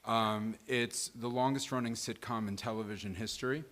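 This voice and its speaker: A man co-hosting a live table read, talking off the cuff in a wry, playful and deliberate way. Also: medium pitch, fairly even intonation, a bit bright. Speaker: co-host and performer at a table read. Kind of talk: casual off-the-cuff stage talk. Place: live event venue before a small audience.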